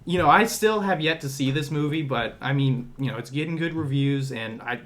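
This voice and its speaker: Mid-pitched man in casual podcast chat. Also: fairly quick, fairly bright tone. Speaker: podcast host. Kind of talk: casual movie discussion chat.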